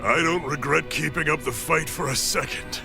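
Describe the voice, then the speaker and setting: A middle-aged resistance fighter and father with a gravelly, creaky voice. He speaks with solemn weight, regretful yet defiant, in dramatic video game style over clear background music. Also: a little high, normal speed. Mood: somber regret. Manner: solemn, heartfelt, weighty delivery. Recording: music behind the voice.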